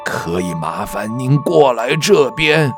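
A woman playing an older, courteous doctor in an audio drama, speaking in a grave, formal and deliberate manner. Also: extremely low pitch, very animated, music in the background, exaggerated voice.

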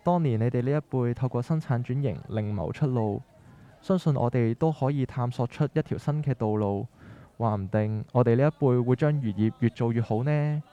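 Man playing an earnest young son, mellow Cantonese. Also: medium pitch, normal speed, lo-fi sound, close to an everyday voice. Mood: hopeful, earnest. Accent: Hong Kong Cantonese. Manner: earnest, persuasive. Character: earnest, determined young adult son of fish-market family. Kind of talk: scripted radio drama dialogue.

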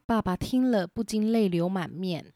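A woman narrating a children's story as host in a gentle, clear storytelling manner, recorded with simple home equipment. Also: mid-range voice, normal speed, dry recording, clean studio sound. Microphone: simple home recording setup.

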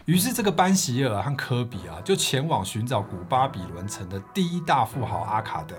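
A man's voice: mid-range voice, sing-song, fairly quick, soft background music. A man co-hosting a book podcast, reading scripted narration as a storyteller.

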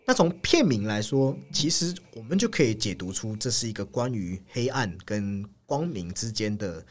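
A man's voice: fairly low pitch, big pitch swings, moderate pace. A man hosting a film discussion, explaining and analyzing in an explanatory way, often repeating words and phrases. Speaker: film podcast host.

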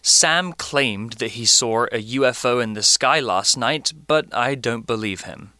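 Guy explaining vocab, bright voice, dry close sound. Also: mid-range voice, measured pace, dry recording. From Britain. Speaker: English teacher co-host. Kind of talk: radio English lesson.

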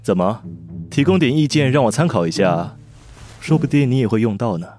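A man's voice: medium pitch, expressive, a little fast, no room sound, lightly acted. A man with a Taiwanese Mandarin accent voicing a composed adult private tutor who teases dryly but looks out for his charge. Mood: teasing. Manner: dry, teasing, gently caring. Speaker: voice actor. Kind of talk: amateur radio drama acting.

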